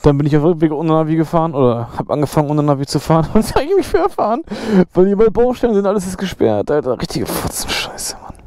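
A man's voice: fairly high pitch, sing-song, quick pace, smooth, no room sound. A young man vlogging from his motorcycle in the rain, chatting casually with lots of slang, swearing and laughter. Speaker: motovlogger. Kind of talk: casual vlog chat while riding. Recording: loud road and engine noise.

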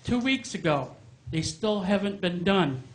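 A man's voice: high voice, expressive, a little slow. A middle-aged man speaking bluntly into a handheld microphone during public comment at a town meeting, raising complaints. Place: town hall meeting room.

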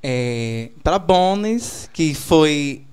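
A man's voice: on the high side, lively intonation, extremely slow, darkish tone, clear, resonant tone. A young man in his twenties from Alagoas, Brazil, talking in a campy, theatrical way into a handheld mic in a small TV studio. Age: 20s. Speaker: drag persona guest. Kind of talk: playful interview banter. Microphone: handheld microphone.

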